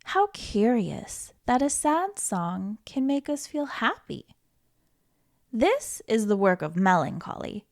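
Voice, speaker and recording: Slow, lively woman reading a picture book. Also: high voice. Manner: gentle, playful, reassuring, with giggles. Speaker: children's librarian reading aloud. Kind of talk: read-aloud storytime.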